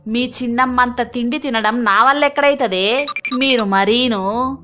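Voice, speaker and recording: A woman's voice: a little high, a little slow, fairly bright tone, faint music behind. A woman voicing a sly, self-assured older mother-in-law in a Telugu cartoon story, dry and mock-modest, with a Telangana accent.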